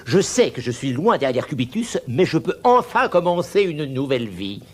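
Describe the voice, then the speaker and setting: A man voicing a proud, touchy, excitable cartoon schemer in a theatrical manner, swinging from muttering to exclaiming. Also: fairly high pitch, moderate pace. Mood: irritated, muttering. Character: proud, touchy, excitable male schemer dreaming of a better life. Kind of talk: French animated cartoon dubbing.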